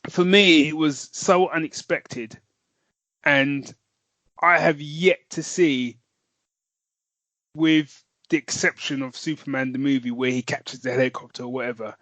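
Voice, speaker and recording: A man's voice: on the high side, steady tone, unhurried, long pauses, fairly bright tone, dry recording, rough recording. A man with a British accent co-hosting a podcast, chatting casually and teasing his co-host with frequent laughs. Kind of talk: casual chat.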